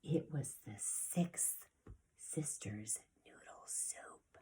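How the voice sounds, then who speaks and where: A woman preschool teacher reading a story aloud to young children, expressive and deliberate, with a breathy voice that often drops toward a whisper. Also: a bass voice. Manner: addresses children directly, expressive with gasps and sound effects.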